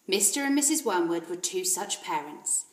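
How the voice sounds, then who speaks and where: Female reader, schoolteacher type: expressive storybook narration, animated intonation, roomy sound. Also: on the low side, moderate pace. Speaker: teacher reading aloud. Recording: roomy echo.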